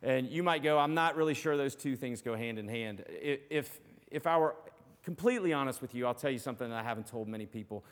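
A man preaching a sermon as pastor. He speaks earnestly and encouragingly, with a loud voice and many fillers and restarts. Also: medium pitch, fast. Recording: long hall reverb.

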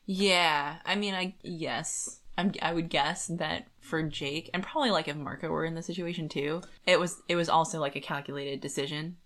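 Low-pitched woman chatting casually. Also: moderate pace, hardly any pauses. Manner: animated, colloquial, peppered with "like". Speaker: podcast co-host. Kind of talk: casual book discussion chat.

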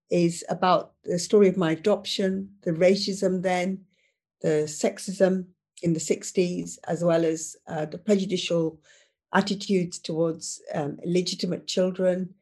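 A woman born in Uganda, a memoir author, introducing herself in an unhurried, hesitant way with many fillers. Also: fairly low pitch, a little mellow. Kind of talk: casual conversation.

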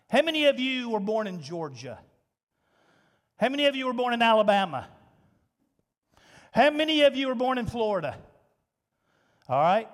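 A pastor delivers an animated sermon in a very high voice for a man. He swings from loud, pressing emphasis to quiet, hushed asides. A long church reverb trails behind every phrase. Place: church sanctuary.

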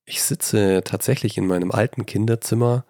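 Slightly hoarse man with a gravelly voice. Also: lowish voice, moderate pace, dry recording, clean studio sound. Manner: chatty, self-deprecating, lively emphasis. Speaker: podcast host. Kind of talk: casual solo podcast talk. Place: old childhood bedroom at his mother's house. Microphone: handheld microphone.

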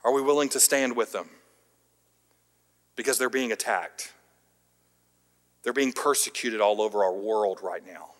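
A man's voice: lowish voice, a little slow, long pauses. Earnest preaching man, long hall reverb. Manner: earnest preaching with rising emphatic peaks and quiet dropped endings; light self-deprecating humor. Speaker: pastor. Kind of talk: sermon. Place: church sanctuary.

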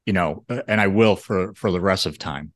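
Low, dry-sounding male podcast co-host. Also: moderate pace, dry recording. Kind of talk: casual chat.

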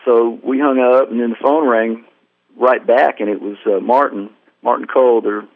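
A man's voice: mid-range voice, fairly even intonation, normal speed, gravelly, no room sound. A man tells a long story on a tinny phone line, rambling and self-deprecating. He acts out what people said along the way. The sound is dry and close. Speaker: guest musician. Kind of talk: casual storytelling interview.

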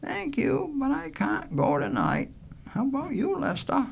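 A man's voice: very high voice, wildly swooping pitch, a little slow, vocal fry, voice pushed up, heavily acted. A man voicing a sweet, gracious young woman with polite, careful speech in a storybook reading. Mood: polite regret. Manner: polite, well-spoken.

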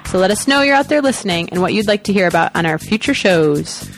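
A woman's voice: medium pitch, expressive, quick pace, faint music behind. A woman co-hosting a radio podcast, reading the closing announcements in a playful, upbeat way. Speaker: podcast co-host. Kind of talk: scripted show outro.

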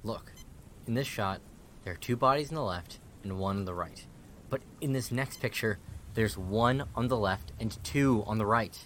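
A man's voice: lowish voice, normal speed, fairly bright tone, lightly acted. A man playing an earnest, unsure young army photographer in an audio drama, with a hesitant, questioning manner. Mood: puzzled, insistent. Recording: clear background ambience and noise.

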